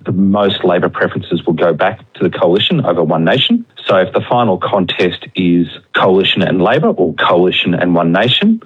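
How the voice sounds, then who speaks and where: Deep, fast man on a tinny phone line. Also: husky. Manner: rapid, matter-of-fact analysis. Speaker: pollster. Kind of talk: phone interview clip.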